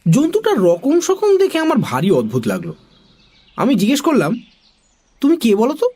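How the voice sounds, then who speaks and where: An expressive, animated narrator telling a children's story in dramatic style. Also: mid-range voice, moderate pace, slight background noise.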